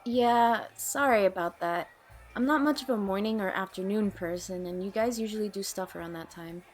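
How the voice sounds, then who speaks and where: A woman's voice: mid-range voice, normal speed, faint music behind, lightly acted. A woman playing a guarded, troubled young woman in an audio drama, speaking in a hesitant, plain way. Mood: wry, apologetic. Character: guarded, troubled young woman named Nicole, awkward and wary. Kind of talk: audio drama dialogue, naturalistic amateur acting.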